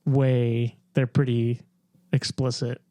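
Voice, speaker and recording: A man co-hosting a podcast in casual group chat, giving low-key, hedged opinions. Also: flat delivery, slow, dark tone, no room sound. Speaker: podcast co-host. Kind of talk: casual group chat about games and films.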